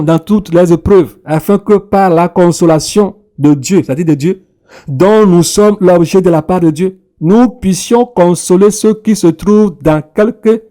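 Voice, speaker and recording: A man's voice: sits high, warm tone. A man, repeating phrases and punctuating them with hallelujah. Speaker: preacher. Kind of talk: sermon.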